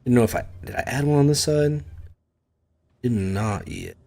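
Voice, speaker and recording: A man's voice: medium pitch, normal speed, darkish tone. Chatty American man streaming a game. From United States. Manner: chatty, self-deprecating, thinks aloud and talks to chat. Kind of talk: casual live-stream chat while building.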